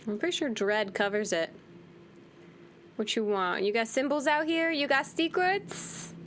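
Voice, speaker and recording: A woman with a fairly high voice hosts a gaming playthrough. She comments casually and playfully, talking back to the game, with faint noise behind her. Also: animated, a little slow, a bit bright. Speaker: gaming video host.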